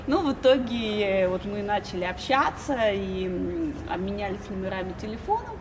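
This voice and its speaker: A woman in her thirties from Russia, telling her story as an interview guest in an animated way and laughing often. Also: medium pitch, normal speed. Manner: animated, laughs often, quotes people in a raised voice. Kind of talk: casual storytelling. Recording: loud crowd noise behind.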